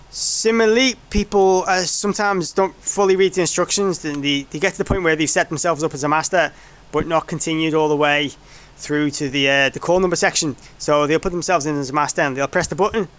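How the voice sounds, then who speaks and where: Man presenting a casual product demo, voice a little high, hesitant and self-correcting delivery. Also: fairly bright tone, clear, resonant tone. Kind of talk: informal technical walkthrough.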